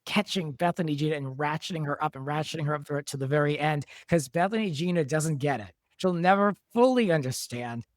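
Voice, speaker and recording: Man, podcast panelist. Higher-than-average pitch, informal talk, very dry sound. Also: a little fast, few pauses, dry recording. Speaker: podcast panelist from the show's team. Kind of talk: casual discussion of a drama episode.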